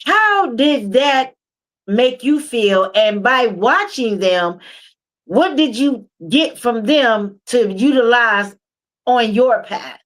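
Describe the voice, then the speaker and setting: An American woman with a fairly high voice works as a co-host. She speaks slowly and casually, feeling her way through questions and rewording them as she goes. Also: lively intonation, fairly bright tone. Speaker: co-host interviewer. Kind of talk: casual interviewing.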